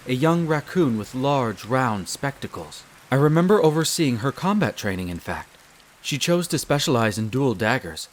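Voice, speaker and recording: A man playing a courteous, devoted wolf butler in a roleplay audio drama, speaking in a formal, measured and deferential way. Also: medium pitch, lightly acted. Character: courteous, devoted male wolf butler, head servant of vampire castle.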